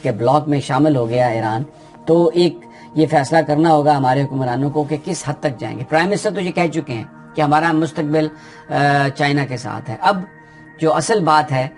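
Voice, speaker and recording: A man with a Pakistani Urdu accent explains political news in an unscripted, steady way, often pausing with "uh" as he thinks. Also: fairly high pitch, soft background music. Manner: steady explanatory delivery with frequent hesitations.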